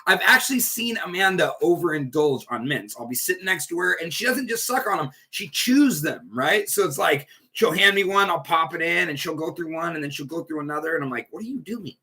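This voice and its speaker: Man, stuffy nose, chatty and rambling, recovery group leader, bright tone. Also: on the high side, animated, fairly quick, hardly any pauses. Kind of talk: casual livestream talk. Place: at home indoors.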